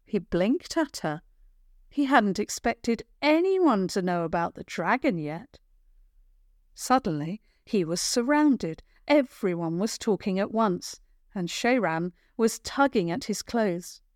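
A woman narrating a scripted children's adventure story in a measured, expressive storytelling manner. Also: mid-range voice, dry recording, clean studio sound.

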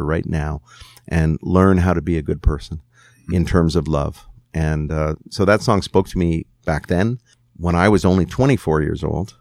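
Man in his sixties, low voice, dry close sound, candid reflective interview talk. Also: creaky, darkish tone, no echo. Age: 60s. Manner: candid, musing, thinks aloud with restarts. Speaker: songwriter guest.

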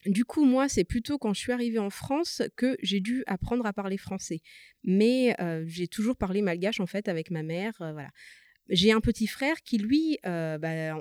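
A woman's voice: medium pitch, dry recording, clean studio sound. Woman hosting a podcast, first language Malagasy. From Madagascar. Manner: relaxed, hesitant with frequent fillers. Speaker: podcast host. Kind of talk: conversational podcast interview.

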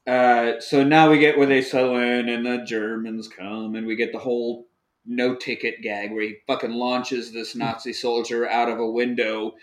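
A man's voice: mid-range voice, level tone, moderate pace. A man hosting a podcast, recapping a movie in a casual, joking, profane way. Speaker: podcast host. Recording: roomy echoey room.

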